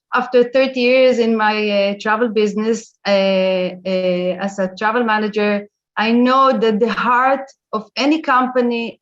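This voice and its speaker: A middle-aged woman with an Israeli accent giving a halting testimonial on a video call. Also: mid-range voice. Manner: halting, restarts phrases. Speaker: webinar participant. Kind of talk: prepared testimonial on a webinar. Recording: dull lo-fi video call audio.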